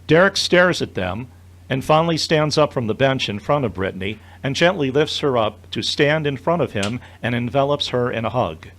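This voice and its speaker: A man's voice: mid-range voice, animated, moderate pace, a bit bright, a little hiss or hum. A man narrating the scripted action of an audio drama.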